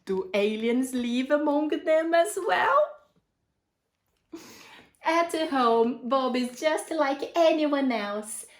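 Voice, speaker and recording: A woman's voice: sits high, animated, drawn-out words, roomy sound. A woman reading a picture book aloud to young children, expressively, with asides and questions addressed to her listeners.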